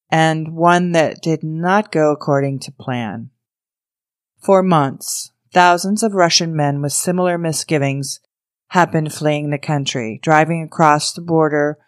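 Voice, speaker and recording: A woman's voice: deep voice, no echo, studio quality. A woman podcast host reading a scripted introduction and news story aloud as narration.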